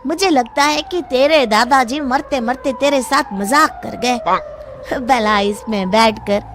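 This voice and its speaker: Woman as high-pitched, greedy, cowardly young man. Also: slow, slight background noise. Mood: wheedling, scoffing. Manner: excitable, wheedling, pleading. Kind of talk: cartoon story dialogue.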